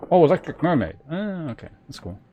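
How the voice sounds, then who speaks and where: A man's voice: fairly high pitch, very animated, fairly quick, dark tone, a little hiss or hum. A man streaming a video game, giving casual live commentary and thinking aloud in short muttered remarks.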